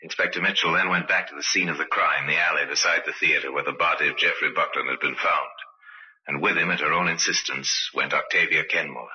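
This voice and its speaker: A man narrating an old-time radio crime mystery in a grave, measured, dramatic storytelling manner. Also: very deep voice, fairly even intonation, husky, heavily acted. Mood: grave, intriguing. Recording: dull old radio recording.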